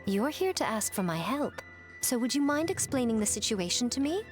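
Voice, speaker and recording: A woman's voice: on the high side, very animated, brisk, soft background music. A woman voicing a poised, sly and teasing adult shrine priestess in a video game.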